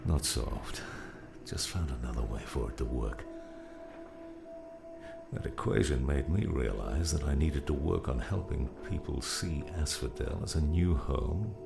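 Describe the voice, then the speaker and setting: An actor playing a gruff, fatherly old mentor, weary and wise, in a slow audiobook drama performance with a gravelly voice. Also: extremely low pitch, expressive, exaggerated voice. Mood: solemn. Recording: music behind the voice.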